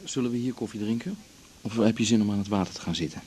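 An actor playing a gentle, dreamy man in a radio drama, coaxing and unhurried. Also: fairly low pitch, very noisy, close to an everyday voice. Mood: inviting. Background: music.

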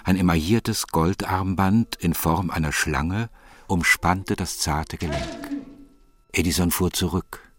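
A man with a husky voice narrating literary fiction in a measured, solemn manner. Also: sits low, a bit bright, dry recording, full character voice.

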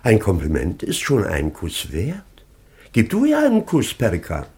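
A man's voice: lowish voice, huge pitch swings, normal speed, a little mellow, slightly rough, exaggerated voice. An actor playing a pompous, fussy, easily piqued baron and father in a German radio comedy of manners. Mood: ceremonious. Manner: pompous, declamatory. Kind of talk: German radio play of a classic stage comedy.

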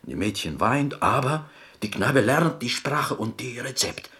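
An actor playing a proud, melancholy Greek man from Corfu in a radio drama, telling his tale in halting, broken German with a Greek accent. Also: mid-range voice, sing-song, bright, forceful tone. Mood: proud, wistful.

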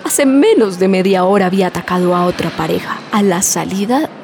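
An actress playing an earnest Colombian on-the-scene news reporter with formal diction in a parody radio soap. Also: female voice, medium pitch, lively intonation, fairly quick, background noise, close to an everyday voice. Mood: earnest, urgent. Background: music.

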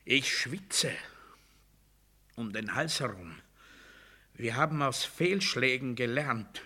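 An actor playing a delusional, commanding demagogue in a cell, declaiming rhetorically in a German radio play. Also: male voice, medium pitch, animated, slow pace, slightly rough, heavily acted. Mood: muttering, sinister. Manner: declamatory, rhetorical, swinging between hushed muttering and loud oratory.

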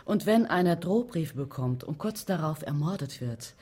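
A composed investigative journalist in a radio thriller. Her voice is very low and quite husky, and she probes with calm persistence. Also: moderate pace. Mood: probing. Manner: probing, insistent. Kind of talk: German radio crime drama acting.

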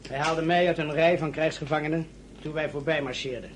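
A solemn, loyal colonel back from defeat, acted by a man in a radio drama. His voice is fairly high for a man, formal and respectful, over faint background noise. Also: steady tone, fairly quick, close to an everyday voice. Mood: grave, emphatic.

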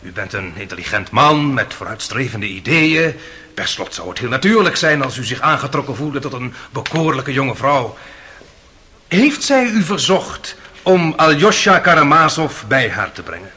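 A man's voice: medium pitch, very animated, normal speed, pushed and bright, a little hiss or hum. An actor playing a suave, sarcastic defence lawyer in a radio courtroom drama, theatrical and insinuating in his mock courtesy. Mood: mock flattery. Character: suave, sarcastic male defence lawyer cross-examining witnesses.

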